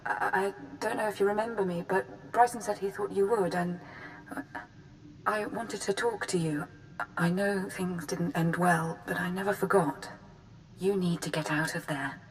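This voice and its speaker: A woman playing a hesitant, pleading former sweetheart, halting and earnest, in scripted game dialogue. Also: on the low side, steady tone, natural voice. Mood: nervous, tender. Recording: faintly noisy recorded message.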